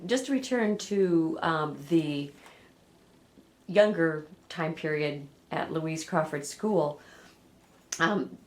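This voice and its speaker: A woman asking conversational interview questions, measured and pausing between phrases. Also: lowish voice. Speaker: oral history interviewer.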